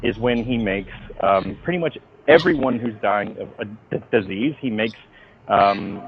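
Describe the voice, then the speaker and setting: A man being interviewed by phone as a writer, talking in an informal, halting but emphatic storytelling way. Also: fairly low pitch, a little hiss or hum. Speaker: interviewee, comic book writer. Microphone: phone call. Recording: dull lo-fi phone call.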